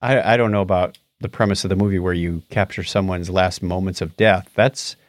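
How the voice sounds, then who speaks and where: A man co-hosting a podcast in casual chat, sometimes halting as he searches for words. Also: low voice, steady tone, no echo, studio quality. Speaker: podcast co-host. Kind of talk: casual chat about movies and tech.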